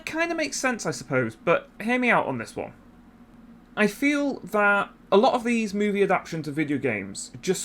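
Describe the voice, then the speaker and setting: A man's voice: a little high, fairly bright tone. A man hosting a YouTube channel, giving casual commentary in a conversational way with self-deprecating asides. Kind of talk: casual video essay commentary.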